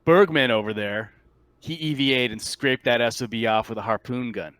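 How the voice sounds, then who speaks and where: A man playing a shaken marine soldier in a tabletop roleplay, giving a halting, stammering account of a disastrous mission. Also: medium pitch, a bit bright, clean tone, dry recording, close to an everyday voice. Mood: urgent recounting. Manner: halting, stammering, incredulous testimony. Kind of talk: improvised tabletop roleplay monologue.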